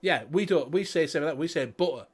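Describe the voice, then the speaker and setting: Brief: male host with a Leeds Yorkshire accent, slightly higher voice, loose and sweary, circles back on himself. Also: rapid-fire, a crowd in the background. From Leeds, England. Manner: rambling, sweary, repeats himself. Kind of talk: casual livestream chat.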